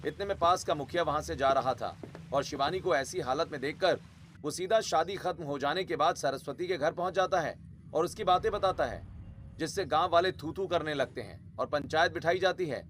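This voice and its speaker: A man's voice: fairly high pitch, brisk, fairly bright tone, a little hiss or hum. A man narrating a scripted dramatic family story in a storytelling style.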